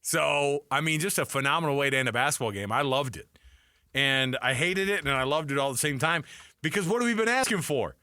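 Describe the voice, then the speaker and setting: A man hosting sports radio in casual, animated talk, emphatic and repeating himself for effect on a close studio mic. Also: a little high, brisk, no echo. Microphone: close studio microphone.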